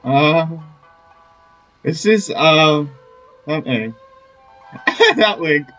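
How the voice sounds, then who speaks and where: A woman playing through a video game, reacting casually in halting, amused little comments. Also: sits low, expressive, a bit bright, muffled recording, faint music behind. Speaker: game streamer.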